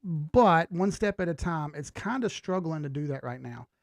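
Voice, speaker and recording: A man with a slightly high voice and a lot of fry in it. He talks like a livestream host, loose and slangy, thinking aloud as he goes. The sound is dry and close. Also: lively intonation, normal speed, dry recording. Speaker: host of a live market analysis stream. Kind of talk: casual live chart commentary.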